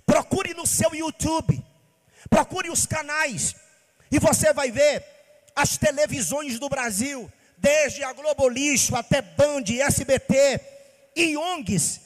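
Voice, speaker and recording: Brief: man from Bahia, pastor, very high voice, impassioned sing-song preaching, long hall reverb. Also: slow pace. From Bahia, Brazil. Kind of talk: sermon.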